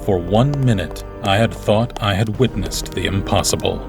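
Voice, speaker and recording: A solemn royal marshal, acted by a man in an audio drama. The voice is lowish and gravelly, grave and formal. Music runs clearly beneath it. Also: moderate pace, natural voice. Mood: somber narration. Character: solemn loyal male royal marshal recounting events. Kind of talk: first-person audio drama narration.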